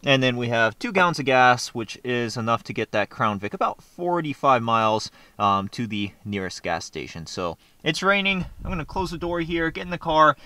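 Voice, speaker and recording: A man's voice: medium pitch, very animated, normal speed, hardly any pauses, sharp tone, no echo. Chatty young man filming his car. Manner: chatty, explaining as he goes. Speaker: vlogger. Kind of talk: casual vlog narration.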